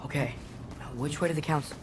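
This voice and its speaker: Young man acting a high-voiced, stubborn teenage boy. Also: fast, natural voice. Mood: musing. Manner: casual, headstrong, youthful. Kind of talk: video game dialogue acting. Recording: noisy game ambience behind voice.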